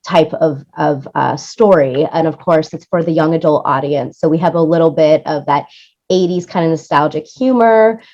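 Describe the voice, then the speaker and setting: A woman's voice: deep voice, normal speed, a little mellow, dull audio. A woman talking conversationally as an interview guest, explaining things in a chatty way and checking in with the listener as she goes. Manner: chatty, explanatory, often tags points with "right?". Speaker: interview guest, music supervisor and producer.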